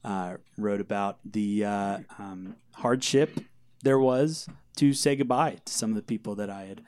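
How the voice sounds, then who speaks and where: Low-voiced man, casual band chat. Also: unhurried, a little mellow, clean tone, no room sound. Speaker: band singer-songwriter being interviewed.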